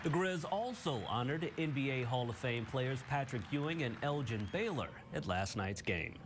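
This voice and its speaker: A man's voice: mid-range voice, expressive, normal speed, music in the background. An American man anchoring morning television news, reading scripted stories in a studio.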